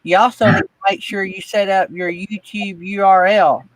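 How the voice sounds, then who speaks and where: A man's voice: sits high, fairly even intonation, moderate pace, no echo, low-quality recording. A man with a Southern US accent, chatting casually as host of a livestream. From northeast Alabama, United States. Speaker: host of a livestream chat show. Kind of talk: casual chat.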